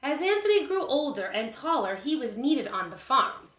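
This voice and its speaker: Lady teacher reading a kids story out loud, really animated, deliberate, echoey room. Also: sits high. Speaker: first grade teacher reading aloud. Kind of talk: expressive children's story narration. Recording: roomy, echoing sound.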